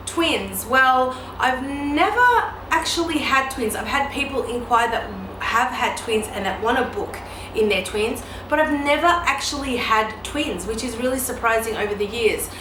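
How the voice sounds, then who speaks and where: A woman's voice: on the high side, moderate pace. A woman in her mid-thirties, a photographer answering viewer questions in a casual, chatty way with many ums and you-knows. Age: 30s. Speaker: newborn photographer and educator. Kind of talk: casual Q&A vlog. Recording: echoey room with steady background noise.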